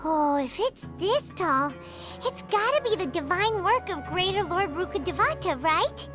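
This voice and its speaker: Casting: tiny naive game sidekick, extremely high squeaky voice, lively swings, chatty. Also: female voice, unhurried, heavily acted. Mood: cheerful, curious. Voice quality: squeaky, childlike. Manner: speaks of self in third person, expressive. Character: small excitable childlike fairy companion, chatty and naive. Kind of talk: video game character acting. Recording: background music under the voice.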